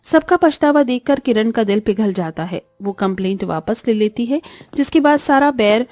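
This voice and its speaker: A woman's voice: fairly high pitch, animated, normal speed, a little mellow, no echo, studio quality. A woman narrating a cartoon story in a scripted, dramatic storytelling style.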